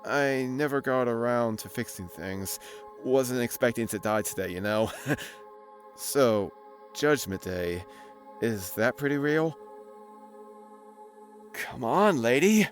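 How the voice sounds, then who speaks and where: A man acts a guilt-ridden soul in an audio drama, casual and sardonic. His pitch moves widely while his delivery takes its time and hesitates. Soft music sits under the voice. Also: expressive, slow pace, pauses often. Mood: uneasy, probing. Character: newly dead man, flippant, nervous, guilt-ridden soul. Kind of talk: naturalistic audio drama acting.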